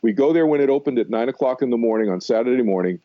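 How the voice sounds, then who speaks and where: A man's voice: mid-range voice, expressive, very fast, narrow, tinny audio. An American man in his sixties telling personal stories as a guest in a conversational radio interview, emphatic and often circling back to repeat his points. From Massachusetts, United States. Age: 60s. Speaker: interview guest, meditation teacher.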